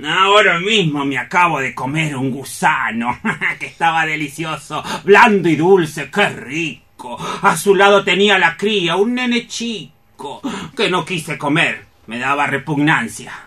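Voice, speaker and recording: Drunk greedy scorpion for radio theatre, slow talking, really sharp bright voice, teasing and menacing. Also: male voice, fairly high pitch, animated. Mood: gleeful, boastful. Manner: mocking, relishing, theatrical. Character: drunken gluttonous male scorpion, gleefully menacing.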